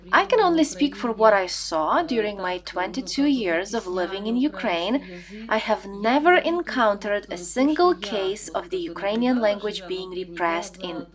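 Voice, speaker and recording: A woman interviewee recalling her past in a firm, emphatic way. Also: medium pitch. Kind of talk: personal recollection in an interview.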